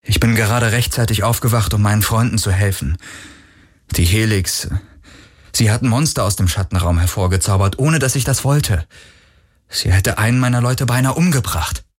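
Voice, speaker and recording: A man voicing a weary, kind young spaceman in a low, gentle, plainspoken way for an audiobook. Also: normal speed, breathy edge. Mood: earnest. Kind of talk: audiobook character voice.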